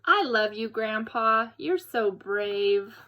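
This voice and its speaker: A woman voicing an eager, admiring young male bear cub in a children's storybook, excited and affectionate. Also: fairly high pitch, takes it slowly, highs cut off. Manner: excited, wide-eyed, affectionate. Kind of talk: children's storybook read-aloud.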